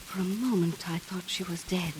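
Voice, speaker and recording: A woman's voice: fairly low pitch, moderate pace, darkish tone, a little hiss or hum, natural voice. An actress playing an anxious, insistent mother in a 1940s radio drama, speaking with earnest, precise, pleading delivery. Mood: haunted recollection. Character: anxious, insistent mother and sister, recalling horror.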